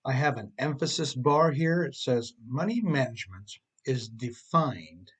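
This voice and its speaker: A man with a husky, slightly rough voice at a medium pitch narrates his own self-help book. He reads like a conversational audiobook author, wry and given to sarcasm, turning to the listener with rhetorical questions. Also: expressive, measured pace, a bit bright.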